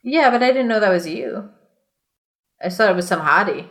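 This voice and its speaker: A woman co-hosts a comedy podcast, reading a story aloud with a middling pitch. She is casual and giggly, dropping out of the narration into irreverent, sweary banter. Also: lively intonation, a little fast, a bit bright.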